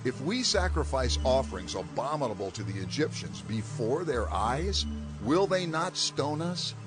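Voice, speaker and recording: A man's voice: medium pitch, sing-song, normal speed, lightly acted. Guy doing firm earnest hebrew prophet, bible drama, voice goes up n down a lot, music behind. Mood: insistent. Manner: earnest, declamatory.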